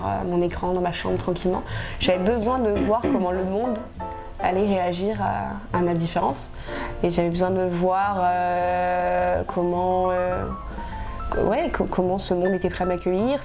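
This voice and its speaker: Young woman interview guest, low pitch, candid, halting delivery with soft music under her. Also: little pitch movement. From southern France. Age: 20s. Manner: frank, earnest, many fillers and restarts. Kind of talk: casual interview talk.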